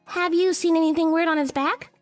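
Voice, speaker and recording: A woman's voice: very high-pitched, steady tone, a little fast, faint music behind. A woman playing a matter-of-fact young priestess who casts spells in a visual novel game, confidently explaining things. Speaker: video game voice actor.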